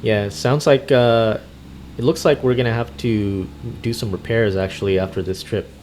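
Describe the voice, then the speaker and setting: A man hosting a gaming roleplay as a truck driver, chatting casually and haltingly, repeating words as he thinks. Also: lowish voice, steady tone, a little mellow, a little hiss or hum. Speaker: gamer, video host roleplaying a truck driver. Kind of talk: casual improvised chat while gaming.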